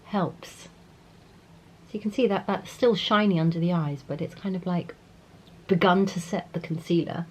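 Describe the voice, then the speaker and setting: A woman's voice: lowish voice, moderate pace, a little hiss or hum, poor audio. A middle-aged woman vlogging casually about makeup, chatty and enthusiastic, still congested from a cold. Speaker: beauty vlogger. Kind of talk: casual vlog talk to camera.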